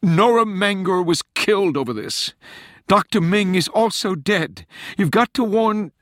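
A man's voice: high-pitched, expressive, a little slow, fairly bright tone, no echo, clean studio sound, voice pushed up. A man voicing a desperate younger woman, an intelligence liaison, in an urgent, emphatic audiobook character voice. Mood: desperate, pleading.

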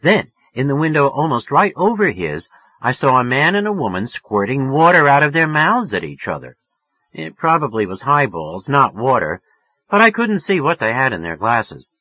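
A man's voice: mid-range voice, big pitch swings, normal speed, breathy edge, highs cut off. A man reading a first-person novel aloud in a confiding, slangy, wry storytelling manner. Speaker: audiobook narrator.

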